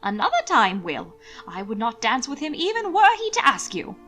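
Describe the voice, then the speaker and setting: A haughty, middle-aged Regency mother played by a woman narrator. She is high-voiced, sniffy and indignant, with faint music behind. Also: huge pitch swings, moderate pace, bright, forceful tone, heavily acted.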